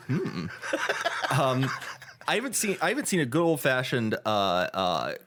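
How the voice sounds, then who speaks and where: A man co-hosting a podcast in casual chat, rambling and halting with many fillers and restarts. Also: medium pitch, sing-song, noisy background. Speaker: podcast co-host.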